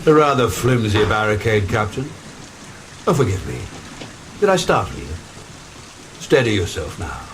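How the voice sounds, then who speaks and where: An old vampire lord, deep-ish and very animated, mocking and commanding, slow deliberate horror radio play, stormy noise behind. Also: male voice. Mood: taunting. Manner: theatrical, sardonic, deliberate. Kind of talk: gothic horror audio drama acting. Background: rain and thunder.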